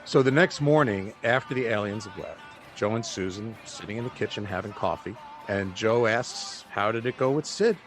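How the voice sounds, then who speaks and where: An actor playing a laid-back, sardonic male buddy with a dry, halting, teasing delivery in audio drama dialogue. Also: lowish voice, over music, natural voice. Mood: joking.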